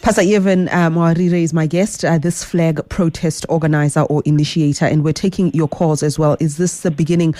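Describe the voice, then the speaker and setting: A woman hosts a live phone-in show. Her voice is mellow and low for a woman, recorded very dry and close in a studio. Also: fairly even intonation, fairly quick, hardly any pauses, no room sound. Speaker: radio talk show host. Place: radio studio. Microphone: close studio microphone.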